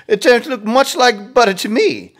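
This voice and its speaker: Man doing a high, skeptical cartoonish raccoon. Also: lively intonation, moderate pace, heavily acted. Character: odd, skeptical raccoon, squeaky and amused.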